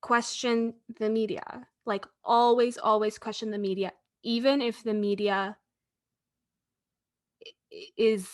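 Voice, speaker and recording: A woman's voice: on the high side, fairly even intonation. Joking woman podcast co-host. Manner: casual, joking, quick asides. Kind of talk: casual conversation.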